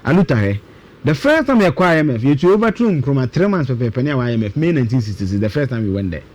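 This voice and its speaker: A man's voice: medium pitch, very animated, fast talker, a little mellow. A Ghanaian man in his thirties giving emphatic, argumentative budget commentary on a talk show, with rhetorical questions and repetition. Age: 30s. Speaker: guest political commentator.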